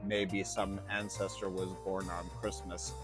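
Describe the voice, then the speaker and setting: A man's voice: low-pitched, level tone, measured pace. A middle-aged American man from Chicago, a former banker, explaining his story earnestly in an interview. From Chicago, USA. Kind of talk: interview and walking tour. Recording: music playing under the voice.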